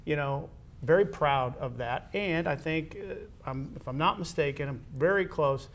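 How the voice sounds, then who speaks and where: A man with an American accent hosting a conversational interview about school athletics as an administrator. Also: normal speed. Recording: background noise with low rumble.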